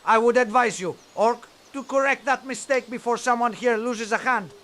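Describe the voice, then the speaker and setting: An actor playing a cold, haughty elf patrol leader, speaking formally and with disdain in a fantasy audio drama. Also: male voice, extremely high pitch, normal speed, a bit bright. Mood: cold threat.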